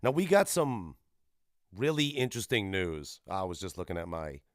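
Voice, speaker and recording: A man's voice: deep voice, sing-song, moderate pace, dry recording, studio quality. A man hosting a solo gaming podcast, giving casual commentary in a rambling, irreverent, profane way. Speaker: podcast host.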